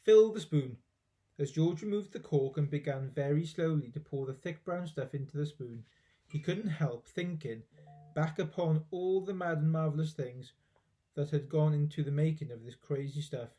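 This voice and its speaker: Male storyteller, mellow and fairly high-voiced, expressive children's narration with dramatic emphasis. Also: normal speed. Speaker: teacher reading aloud.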